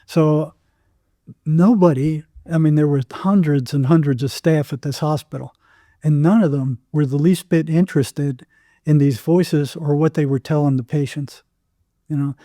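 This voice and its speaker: A man's voice: fairly high pitch, steady tone, normal speed, mellow, dry recording, studio quality. An older American man telling anecdotes casually as an interview guest, acting out bits of dialogue as he goes.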